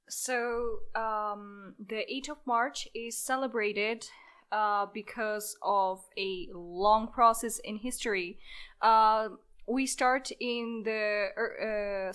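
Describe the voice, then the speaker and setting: A woman's voice: on the high side, a bit bright, clean tone. A nineteen-year-old woman from Italy, a volunteer guest speaking hesitantly with many fillers in a casual podcast discussion.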